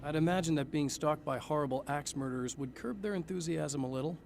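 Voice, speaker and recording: An actor playing a weary, wry, guarded hero in a video game cutscene, speaking with dry understatement. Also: male voice, on the high side, fast, vocal fry, darkish tone, slight background noise.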